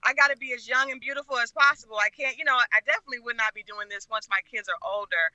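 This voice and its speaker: A woman's voice: on the high side, fast, pushed and bright, dull audio. A middle-aged American woman from Oklahoma, telling personal stories conversationally as a guest in an interview. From Oklahoma, United States. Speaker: talk show guest, media personality and relationship expert.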